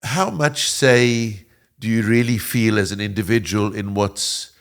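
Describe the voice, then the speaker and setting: Older man, low voice, chuckling. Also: a little slow. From Egypt, raised in South Africa. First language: Italian. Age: 70s. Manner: chuckles often, rambling with restarts. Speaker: guest. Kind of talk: interview conversation.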